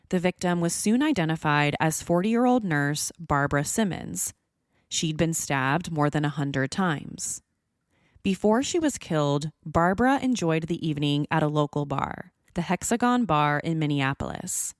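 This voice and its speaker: A woman's voice: low-pitched, no room sound, studio quality. A woman hosting a podcast, reading scripted true-crime narration. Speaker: podcast host.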